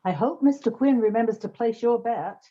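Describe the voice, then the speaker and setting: Mellow, wry lady detective played by a woman. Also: a little high, normal speed, close to an everyday voice. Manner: wry, sarcastic. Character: dry, quick-witted female private detective. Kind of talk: comic old-time radio play acting. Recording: slightly dull, muffled sound.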